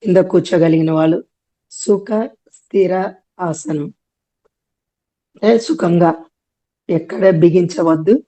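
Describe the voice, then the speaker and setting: A woman leading a guided meditation, giving gentle instructions in short, often repeated phrases. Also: lowish voice, fairly even intonation, unhurried, pauses often.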